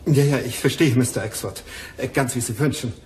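Man acting eager deferential bank official, mid-pitched, hesitant, crime radio play, faint noise. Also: fairly quick, darkish tone, close to an everyday voice. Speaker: radio drama actor.

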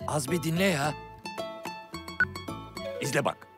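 An actor voicing an impatient, conspiratorial crash-test dummy robot in a children's cartoon. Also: male voice, on the high side, slow, over music. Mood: urgent, impatient. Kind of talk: animated children's cartoon acting.

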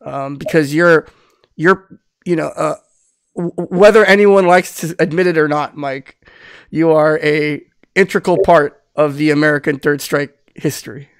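A man's voice: a little high, steady tone, a little slow, smooth, no room sound, studio quality. A middle-aged man, born in the Philippines and raised in Southern California, hosting a casual podcast interview over a video call, talking in a rambling way with many restarts and fillers. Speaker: podcast host. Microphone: headphones with studio mic on webcam.

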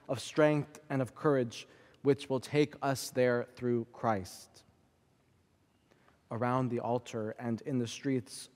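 A man in his thirties preaching a sermon from a church pulpit, with a measured, rhythmic delivery built on lists and repetition. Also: mid-range voice, a little mellow. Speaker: priest. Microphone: pulpit microphone. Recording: long church reverb.

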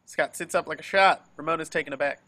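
A man reads scene descriptions as a scripted narrator. His voice is bright and forward, a little high for a man, in the setting of an amateur table read. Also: fast talker. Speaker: reader of stage directions. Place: remote video call.

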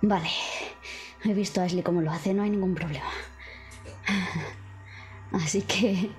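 A woman's voice: medium pitch, fairly even intonation, unhurried, darkish tone, faint music behind. A woman with a Castilian Spanish accent casually narrating her character's actions as a player in a tabletop game. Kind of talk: casual first-person roleplay.

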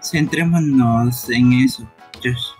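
A man's voice: medium pitch, slow pace, a little mellow, soft background music, close to an everyday voice. A man voicing a timid, earnest young fox-like creature in a live amateur game dub. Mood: determined. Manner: earnest, apologetic.

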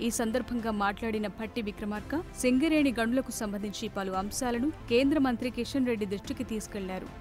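Brisk woman reading news over music. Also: fairly high pitch, a little mellow. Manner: brisk formal news read. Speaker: news narrator.

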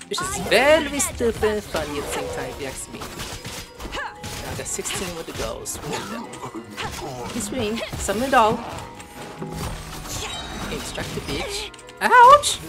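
A woman streamer commenting casually on her game. Her pitch is mid-range but swings widely as she breaks into exclamations and swearing. Game music plays behind her. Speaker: gaming streamer.

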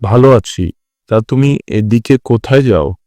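A man's voice: medium pitch, expressive, a little slow, mellow, no room sound, studio quality, natural voice. A man voicing a greedy, scheming village landlord figure in a cartoon, with colloquial rustic speech. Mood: smug, casual. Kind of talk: Bengali cartoon voice acting.

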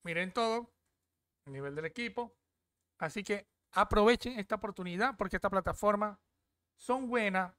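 A man's voice: high-pitched, moderate pace, sharp tone, dry recording, clean studio sound. A young man casually walking viewers through an app on screen. He talks from a desk at home and keeps checking in with "¿ok?". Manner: chatty, repeats "¿ok?" often. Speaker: crypto app reviewer. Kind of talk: casual screen-share walkthrough.

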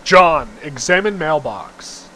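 A man's voice: on the high side, big pitch swings, slow, bright, forceful tone, slight background noise. A young man giving an amateur, theatrical read-aloud of a story, with the odd stumble. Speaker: reader of a webcomic read-aloud.

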